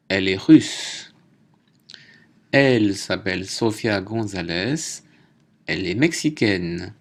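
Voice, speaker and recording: A man teaching a French lesson, reading out names, nationalities and exercises slowly and deliberately. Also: on the low side. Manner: slow, deliberate dictation. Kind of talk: slow lesson narration.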